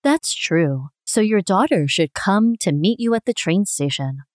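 A woman reading her parts in a scripted dialogue for a language listening test, clear and expressive. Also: medium pitch, a little slow, no room sound, clean studio sound.

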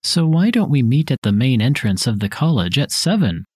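A man reading his parts in a scripted dialogue for a language listening test, with careful, even delivery. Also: mid-range voice, moderate pace, breathy edge, no echo, studio quality.

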